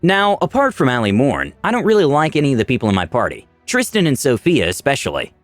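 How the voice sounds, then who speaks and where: Expressive male storyteller, very lively pitch. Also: fast, dry recording. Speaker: narrator of a storytelling channel. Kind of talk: dramatic scripted story narration. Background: music.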